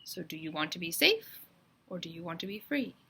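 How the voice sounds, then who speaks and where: Woman as a bossy, forceful male official. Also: on the low side, sing-song, a little fast, husky, full character voice. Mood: businesslike. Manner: bossy, interrogating. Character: officious mustached official with attaché case and rules.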